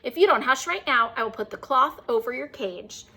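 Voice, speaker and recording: A woman's voice: high-pitched, lively intonation, normal speed, bright, forceful tone, husky, full character voice. A woman playing a sulky little girl.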